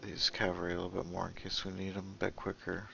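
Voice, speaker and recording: Deep, heavily creaky male game commentator. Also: monotone, dull audio, over music. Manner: halting, musing, trailing off mid-thought. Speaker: gaming video host. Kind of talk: casual gameplay commentary, thinking aloud.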